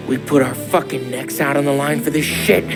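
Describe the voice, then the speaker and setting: Guy doing a hot-headed american mobster, late 30s, slightly high voice, sweary slang, game cutscene w loud music. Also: steady tone, normal speed, close to an everyday voice. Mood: angry, disbelieving. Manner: slangy, profane, agitated. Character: restless, hot-tempered male mobster nearing forty, weary of crime. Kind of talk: cinematic crime game dialogue.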